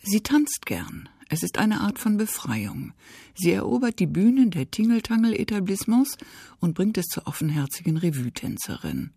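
A woman narrates a scripted book review with a husky, gravelly edge to her dark voice. Her delivery is crisp and even with lively emphasis, dry and close to the mic. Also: medium pitch, normal speed, no room sound.

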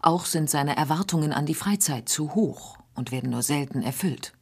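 A woman narrating a radio feature in a measured, precise scripted delivery. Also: deep voice, expressive, breathy edge.

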